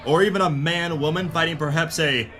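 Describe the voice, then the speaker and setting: A man as high-ish melodramatic hardboiled detective. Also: fairly even intonation, brisk, a bit bright, long reverb tail, faint music behind, natural voice. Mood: forceful, impatient. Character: hardboiled, melodramatic pulp detective hero, narrating his adventure. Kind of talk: noir parody audio drama narration.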